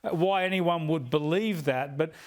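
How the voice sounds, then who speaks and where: A man's voice: fairly high pitch, unhurried. A man interviewing a guest on a news programme in a conversational, sympathetic way. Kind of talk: broadcast interview.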